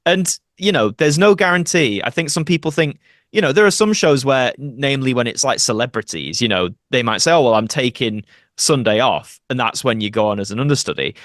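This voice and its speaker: Guy actor chatting on a podcast, explaining stuff casually, normal pitch, really dry sound. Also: expressive, fairly quick, fairly bright tone, no room sound. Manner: conversational, explanatory. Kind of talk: casual interview chat.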